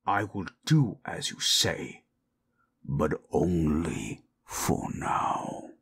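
A man voicing a proud, possessive forest monster in human shape, gravelly and husky, speaking in stilted, imperious phrases for an audio roleplay. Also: deep voice, sing-song, a bit bright, a little hiss or hum, heavily acted. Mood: possessive, commanding. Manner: slow, imperious, formal. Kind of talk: dramatic audio roleplay, stilted formal speech.

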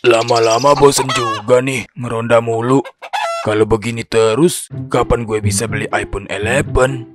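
A man's voice: mid-range voice, steady tone, moderate pace, close to an everyday voice. A man voicing a bored, grumbling night watchman in a comedy cartoon, with music behind.

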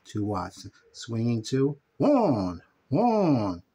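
A man's voice: medium pitch, huge pitch swings, drawn-out words. A man giving a casual, folksy hands-on demo, talking informally to viewers as he handles a radio. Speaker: CB radio owner demonstrating a set. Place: indoors, small room at home. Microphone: handheld phone filming the radio. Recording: noisy phone-video audio with radio hiss. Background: clear noise and CB radio sound.

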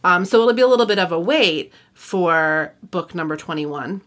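A woman's voice: lowish voice, animated, moderate pace, fairly bright tone. A woman in her thirties hosting a podcast, chatting casually about books. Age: 30s. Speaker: podcast host. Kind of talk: casual book discussion podcast.

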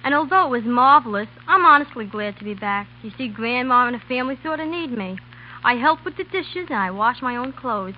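A woman's voice: on the high side, animated, brisk. A woman, a guest speaking scripted lines in a 1930s radio interview. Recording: muffled 1930s radio recording with hum.

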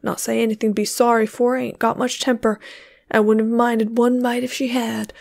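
A woman voicing a shy older countryman who speaks haltingly and earnestly in folksy words. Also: fairly high pitch, flat delivery, vocal fry, a little mellow, dry recording, clean studio sound. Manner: halting, trembling, folksy speech.

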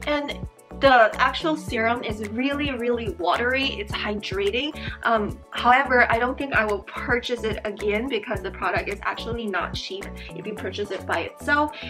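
A young woman talking casually to camera in her bedroom while doing her skincare, chatty and bubbly, her voice slightly hoarse. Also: on the high side. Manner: chatty and bubbly, repeats words. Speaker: beauty vlogger and teacher. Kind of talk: casual get-ready-with-me chat. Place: bedroom with dresser and fairy lights. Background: background music.

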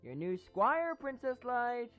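Man voicing an excitable, swooping young unicorn squire. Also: very high-pitched, takes it slowly, dull audio, faint music behind, exaggerated voice. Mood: earnest. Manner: earnest, eager, polite. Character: eager, awkward young male unicorn squire. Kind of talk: fan cartoon voice acting.

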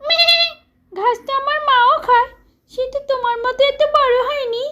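A woman's voice: very high-pitched, fairly even intonation, moderate pace, bright, forceful tone, lo-fi sound, pitched above the performer's own voice. A woman voicing a small, clever young goat, eager and singsong, in a children's story. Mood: curious, eager. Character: small clever naive young goat kid, curious and cheeky.

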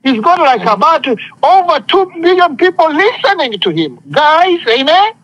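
A man's voice: extremely high pitch, sing-song, moderate pace, fairly bright tone, no echo, muffled recording. A man preaching an impassioned sermon, speaking emphatically with repetition and often calling for responses from listeners. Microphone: phone conference call.

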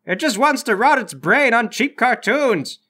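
An exasperated mad scientist acted out by a man, high and bright in voice. He is callous and theatrical, with a whiny edge, in the manner of a tabletop game villain. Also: expressive, unhurried, heavily acted. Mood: dismissive.

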